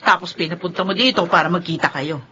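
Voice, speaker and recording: Sharp, jealous Filipina. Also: female voice, on the low side, quick pace, husky. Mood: suspicious, biting. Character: jealous, suspicious, sharp-tongued wife or partner. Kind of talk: Filipino radio drama acting. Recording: dull radio broadcast, faint noise.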